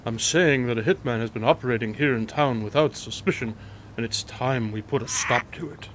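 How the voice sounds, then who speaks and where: A man's voice: medium pitch, fairly quick, a bit bright, a little hiss or hum, natural voice. A man playing a confident, wryly impatient detective in a radio drama, asking pointed, probing questions. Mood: assured.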